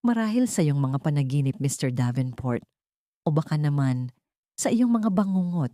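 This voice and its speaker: Woman voicing a low, commanding young heiress. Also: very animated, moderate pace, mellow, dry recording, clean studio sound. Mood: bitter, taunting. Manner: authoritative. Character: proud, commanding young heiress mother seeking revenge.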